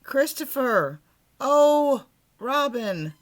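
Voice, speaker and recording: Storybook role: small self-important boy bear, earnest and muddled, pitched up by a woman reader, deliberate delivery. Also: sing-song, exaggerated voice. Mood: anxious. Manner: deliberate, earnest, slightly pompous.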